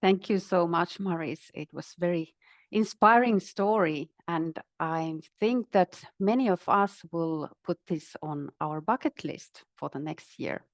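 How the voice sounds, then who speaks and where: A woman's voice: on the low side, unhurried, no echo. A woman moderating a webinar, making polite, formal, semi-scripted introductions of speakers.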